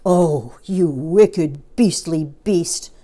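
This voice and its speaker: A woman's voice: deep voice, drawn-out words, warm tone, heavily acted. Woman as a big outraged storybook elephant. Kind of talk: children's storybook character voice.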